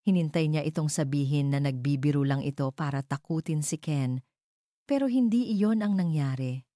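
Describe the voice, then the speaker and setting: A woman's voice: low voice, normal speed, mellow, no echo, clean studio sound. A woman narrator reading a scripted romantic drama in a storytelling style.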